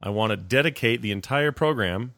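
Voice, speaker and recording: A man's voice: fairly low pitch, expressive, a little fast, a bit bright, dry recording, clean studio sound. A middle-aged man hosting a podcast with a cold, rambling and joking casually. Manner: rambling, jokey, self-interrupting. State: has a cold, coughing. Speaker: podcast host.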